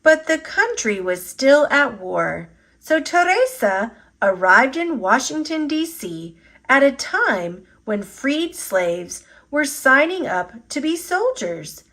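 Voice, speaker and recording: A woman reading a children's picture book aloud as a storyteller, with an expressive, deliberate delivery. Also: sits high. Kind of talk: scripted narration, children's read-aloud.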